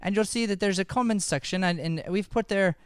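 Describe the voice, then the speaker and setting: A man's voice: sits high, a little fast, clean tone, dry recording, clean studio sound. A man hosting a live improv show, chatting informally and encouraging the audience. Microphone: podcast microphone.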